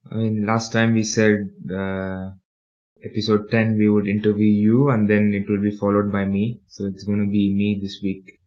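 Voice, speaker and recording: A man's voice: lowish voice, completely flat delivery, normal speed, a little mellow. A young man from the UAE, talking casually about his life in an interview, hesitant with many fillers. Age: young adult. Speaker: podcast co-host and interviewee. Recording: dull lo-fi audio.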